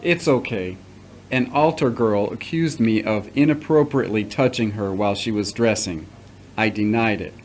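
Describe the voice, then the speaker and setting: An actor playing a patient, fatherly middle-aged priest in an audio drama, speaking in a measured, formal way. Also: fairly low pitch, lightly acted. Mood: firm, earnest. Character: returning priest, patient, paternal, earnest, formerly accused. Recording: noticeable background noise.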